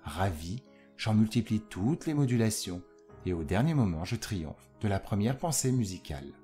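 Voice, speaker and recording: A man voicing a famous composer describing his musical inspiration in a formal, measured, literary way. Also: lowish voice, sing-song, mellow, faint music behind. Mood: fervent. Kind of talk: dramatised reading of a quotation.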